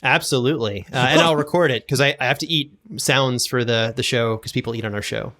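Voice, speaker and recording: A man's voice: mid-range voice, brisk, sharp tone. A man speaking as an interview guest, explaining technical things in a casual, conversational way. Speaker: podcast guest, audio drama producer and sound designer.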